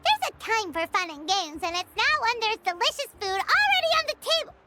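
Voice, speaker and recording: Tiny game fairy, very high-pitched, bright and sharp, bubbly, exclamatory. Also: female voice, very animated, a little fast, full character voice. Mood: excited. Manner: bubbly, exclamatory, talks about herself in third person. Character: tiny excitable floating fairy companion, female. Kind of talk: video game voice acting.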